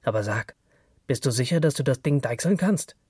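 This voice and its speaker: A man voicing a sly young sidekick who flatters with a needling, sceptical edge, in an audiobook reading. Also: mid-range voice, normal speed, breathy edge, no echo, clean studio sound. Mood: doubtful. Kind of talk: audiobook character dialogue.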